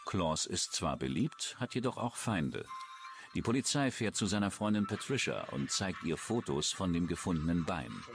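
A man's voice: deep voice, sing-song, moderate pace. A man with a standard German accent reading scripted true-crime documentary narration.

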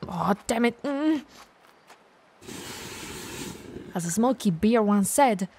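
A woman's voice: fairly high pitch, expressive, normal speed, a little mellow, slight background noise. A woman playing a playful, clumsy, bloodthirsty female vampire who mutters theatrically to herself in an ASMR audio roleplay. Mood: flustered, then amused, exhilarated.

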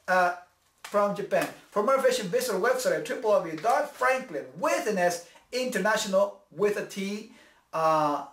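A man in his thirties hosting a homemade cooking show in a small kitchen, with showman patter and switching between two languages. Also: high voice. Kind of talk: bilingual cooking vlog. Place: small home kitchen, indoors. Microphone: camera mic, several feet away. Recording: echoey kitchen with faint music.

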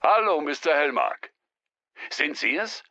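An actor playing a nervous male professor on the phone who strains to sound calm, with a gravelly, sharp voice. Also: a little high, measured pace, no echo, highs cut off, heavily acted. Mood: tense, urging.

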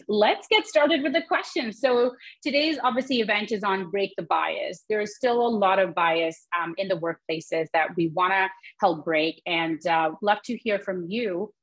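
A woman's voice: mid-range voice, moderate pace, hardly any pauses, fairly bright tone, poor audio. A woman from Pakistan hosting an interview over a video call, talking conversationally with many fillers. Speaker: host. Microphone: webcam on a video call.

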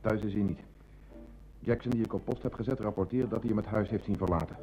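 An actor playing an earnest police detective, assistant to an inspector, in a radio drama. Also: male voice, fairly low pitch, fast, dark tone, faint music behind, close to an everyday voice. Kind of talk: Dutch radio drama acting. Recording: slightly noisy old radio recording.

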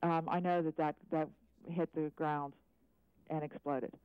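Halting eyewitness on a dry phone line. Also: mid-range voice, level tone, clear, resonant tone, no room sound. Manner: hesitant, halting, careful answers. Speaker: eyewitness caller. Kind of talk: live news interview, unscripted answers.

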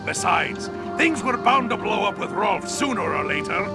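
An actor playing a hearty, blunt and mischievous burly dwarf warrior in a video game cutscene. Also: male voice, high voice, animated, normal speed. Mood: resolute. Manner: hearty, rough-edged, jovial. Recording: loud music behind the voice. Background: loud game music.

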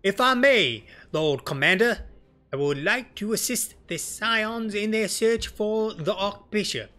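A man's voice: sits high, animated, unhurried, bright, forceful tone, voice pushed up, full character voice. A man voicing a dutiful female knight officer in a fantasy game, polite and businesslike. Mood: dutiful, reporting. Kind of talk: fantasy game dialogue reading. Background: game music.

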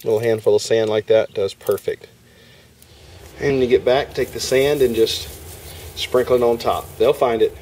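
A man's voice: medium pitch, fairly even intonation, normal speed, darkish tone, a little hiss or hum. A man hosting a homestead video, talking casually through a hands-on demonstration outdoors in a yard, folksy and step by step. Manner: folksy, talks through each step while working.

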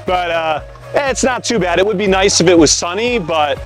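A man's voice: sits high, lively intonation, normal speed. An American man in his thirties hosting a casual hiking vlog, talking as he goes outdoors. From United States. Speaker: vlog host, hiking guide. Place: outdoors on forest trail by waterfalls. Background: clear music.

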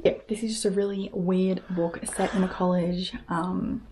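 A woman's voice: on the low side, level tone, a little mellow, a little hiss or hum, rough recording. Chatty young woman vlogging at home. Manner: chatty, upbeat, quick asides. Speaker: book vlogger. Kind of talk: casual chat to camera. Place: home room with bookshelves. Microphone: camera on desk at arm's length.

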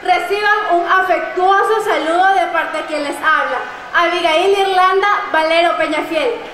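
A woman's voice: very high voice, normal speed, slight background noise. An eighteen-year-old woman giving a ceremonious, flowery self-introduction as a pageant contestant at a stand microphone on a night stage. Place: large outdoor stage at night. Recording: echoey venue over loudspeakers.

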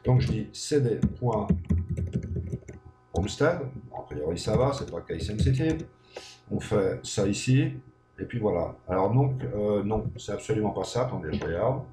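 A man's voice: fairly low pitch, expressive, normal speed. A man giving an unscripted screencast lesson, thinking aloud with many hesitations and frequent checks that the listener is following. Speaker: programming tutor. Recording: echoey room with faint hum.

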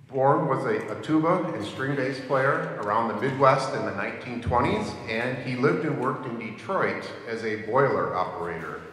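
A middle-aged man reading announcements from a sheet on a concert hall stage in a measured, informative way. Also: medium pitch, a bit bright. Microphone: handheld mic on a stand. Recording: roomy concert hall reverb, faint hiss.

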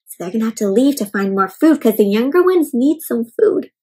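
A young adult woman reading a picture book aloud to children in an expressive, teacherly way, pausing to explain and ask questions. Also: a little high, breathy edge. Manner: expressive, emphatic, teacherly, slowing on key words. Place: indoor room at home.

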